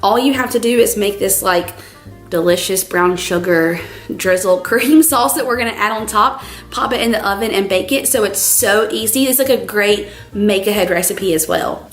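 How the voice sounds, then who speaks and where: Young woman, hoarse from a cold, chatty and upbeat, home-kitchen vlogger, soft music bed. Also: mid-range voice, normal speed. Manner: chatty, bubbly, peppered with "like". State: scratchy voice from a cold. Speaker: cooking vlogger. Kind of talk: casual cooking tutorial.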